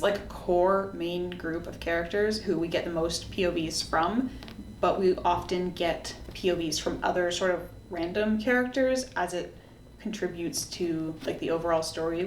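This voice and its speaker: A woman hosting a casual book review video, talking in a conversational, explanatory way. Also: on the low side. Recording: echoey room with faint background noise.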